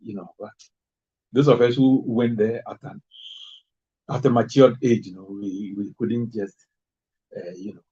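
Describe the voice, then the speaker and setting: A man's voice: on the low side, fairly even intonation, measured pace, pauses often, warm tone, husky. A man from Ghana on a casual talk show, chatty and laughing through anecdotes. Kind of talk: casual chat. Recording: dull lo-fi sound.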